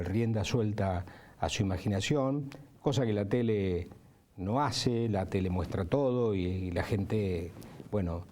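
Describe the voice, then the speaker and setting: A man speaks reflectively in a low, mellow voice. He circles his phrases hesitantly, as in an interview answer.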